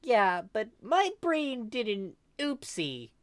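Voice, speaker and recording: A woman's voice: fairly high pitch, sing-song, slow pace, voice pushed up. A woman voicing a clueless, cheerful teenage boy who blurts things out in a bubbly, sheepish way. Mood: sheepish, excited.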